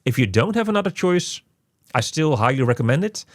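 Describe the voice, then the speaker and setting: A man hosting a casual game review, speaking haltingly and often repeating his words. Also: mid-range voice, sing-song, dry recording, studio quality. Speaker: podcast host reviewing a video game.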